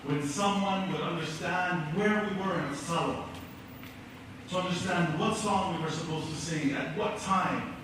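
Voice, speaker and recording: A middle-aged man from American Samoa giving a measured, earnest speech at an awards ceremony, telling his story with pauses. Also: sits high, noisy background. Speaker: keynote speaker. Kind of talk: prepared speech. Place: school auditorium. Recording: echoey hall from far off.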